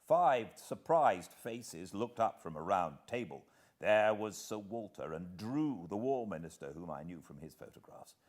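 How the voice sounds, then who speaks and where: A British man narrating a literary audiobook in a measured, expressive way with dramatic pauses. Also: sits low. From Britain.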